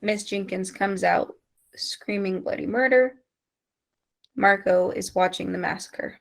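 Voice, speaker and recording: A woman's voice: medium pitch, unhurried, vocal fry. A woman reading scripted stage directions aloud as narrator at an amateur table read.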